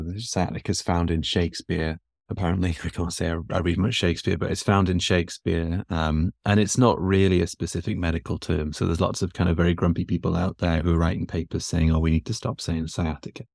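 A man from the north of England with a Newcastle accent, a physiotherapist chatting as a guest in a relaxed, self-deprecating way. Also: low-pitched, gravelly, no echo, studio quality. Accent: Newcastle English. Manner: self-deprecating, hesitant with fillers and restarts. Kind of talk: relaxed conversational interview. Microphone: podcast microphone.

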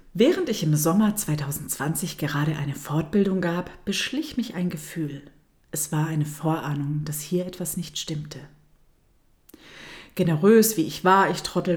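A woman with a German accent hosting her own podcast, telling her personal story in a soft, intimate voice that often drops close to a whisper. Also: fairly low pitch. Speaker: podcast host, dance teacher. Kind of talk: storytelling monologue.

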